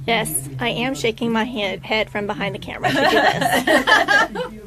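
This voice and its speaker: A woman's voice: on the high side, big pitch swings, unhurried. A woman from a show's crew chatting casually and offering compliments, with other chatter behind her. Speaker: off-camera show crew member. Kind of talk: casual chat. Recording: busy chatter in the background.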